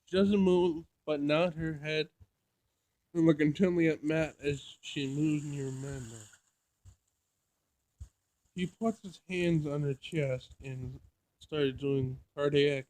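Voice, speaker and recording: A man playing through a visual novel, reading it aloud in an emotional, halting way with dramatic outbursts. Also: a little high, darkish tone, dry recording, low-quality recording. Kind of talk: let's play narration with loud reactions.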